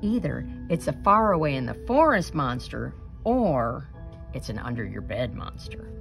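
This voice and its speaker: A woman reading a children's picture book aloud expressively, with deliberate, dramatic emphasis and suspenseful pauses. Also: very deep voice, big pitch swings, vocal fry, music in the background.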